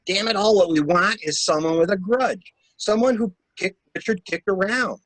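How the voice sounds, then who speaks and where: A man's voice: high voice, moderate pace, fairly bright tone, dry recording, rough recording. A man in a dramatic mystery reading, playing a shrewd stranger who questions a widow with wry, insistent probing. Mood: urgent, pressing. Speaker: library staff reader.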